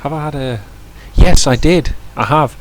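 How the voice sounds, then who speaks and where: A man's voice: fairly high pitch, moderate pace, low-quality recording. A man giving casual live-stream commentary over a flight simulator, chatting with viewers and often restarting his sentences. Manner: chatty, answers viewer chat, self-correcting restarts.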